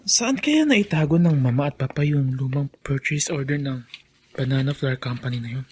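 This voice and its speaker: A man's voice: mid-range voice, animated, moderate pace, breathy edge, dry recording. A Filipino actor playing an earnest young man of a family business in a radio drama. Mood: puzzled.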